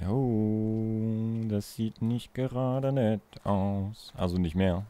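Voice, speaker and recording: A man's voice: lowish voice, fairly even intonation, drawn-out words, mellow. A man giving casual let's play commentary, musing aloud in a playful way. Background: game music.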